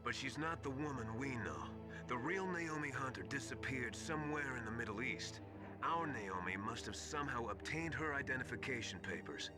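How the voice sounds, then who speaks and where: An actor playing a seasoned, sober military mentor in a video game, explaining things in a measured way. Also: male voice, medium pitch, expressive, natural voice. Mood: grave, confiding. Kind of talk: dramatic video game voice acting. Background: game music.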